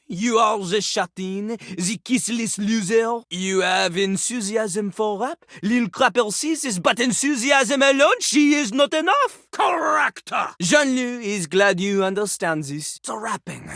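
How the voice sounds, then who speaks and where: A man's voice: sits high, vocal fry, bright, forceful tone, no echo, clean studio sound, exaggerated voice. A man voicing a vain, boastful French rapper toilet in a video game, with a French accent and a pompous, theatrical way of talking about himself in the third person. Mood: haughty, challenging, then self-satisfied, coy. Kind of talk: video game character acting, bursts of rap.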